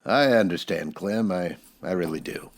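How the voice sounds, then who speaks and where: Low, steady frontier marshal. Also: male voice, normal speed, slight background noise. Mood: calm, earnest. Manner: plain-spoken, earnest, folksy. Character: steady, upright Old West frontier marshal. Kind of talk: old-time radio western acting.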